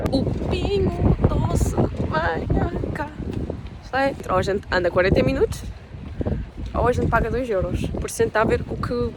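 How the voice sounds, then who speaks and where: A woman in her twenties with a European Portuguese accent, chatting casually to camera as a travel vlogger, rambling and swearing offhandedly. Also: a little high. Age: 20s. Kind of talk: casual vlog chat to camera. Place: hostel room and streets, indoors and outdoors. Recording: noisy background ambience.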